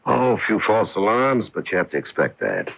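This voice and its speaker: An actor playing a genial, seasoned police lieutenant in a radio mystery, gravelly and matter-of-fact, with a knowing manner. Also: male voice, on the low side, wildly swooping pitch, normal speed, fairly bright tone, full character voice. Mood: businesslike. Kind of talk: radio mystery drama acting. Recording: muffled AM radio broadcast.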